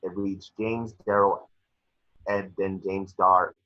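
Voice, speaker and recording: A man's voice: sits low, flat delivery, slow. A man narrating scripted action descriptions at an amateur table read, on a slightly dull home recording. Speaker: reader of stage directions.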